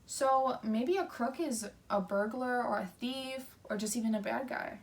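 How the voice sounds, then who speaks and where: Higher-pitched woman teaching, slightly distant microphone. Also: unhurried, vocal fry, a little hiss or hum. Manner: simple explanations with frequent questions. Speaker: teacher reading aloud. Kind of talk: interactive picture-book read-aloud for children.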